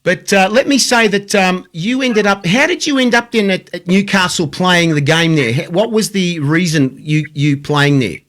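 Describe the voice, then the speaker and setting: Male host, high voice, bright tone, enthusiastic, repetitive. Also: lively intonation, moderate pace, hardly any pauses. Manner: enthusiastic, repeats himself, says "mate" often. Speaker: show host. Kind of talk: casual interview chat.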